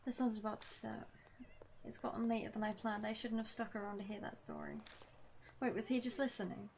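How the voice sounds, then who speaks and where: A woman's voice: on the high side, level tone, brisk, creaky, a little mellow, slight background noise. A woman game streamer reading a visual novel aloud, breaking off for casual commentary. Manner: casual reactions between read-out lines. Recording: music behind the voice. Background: game music.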